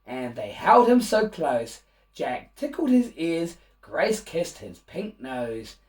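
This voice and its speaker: A man reading a rhyming children's picture book aloud in an expressive, animated way, with asides to young listeners. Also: fairly high pitch, slow pace, recorded from a distance. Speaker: children's storybook reader and host.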